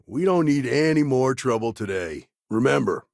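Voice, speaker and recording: A man playing a world-weary, dutiful frontier ranger lawman in a sci-fi video game, guarded, stern and plain-spoken, with a husky, gravelly voice. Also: mid-range voice, animated, normal speed, no echo, clean studio sound. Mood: cautioning, matter-of-fact. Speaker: video game character actor. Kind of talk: naturalistic video game dialogue acting.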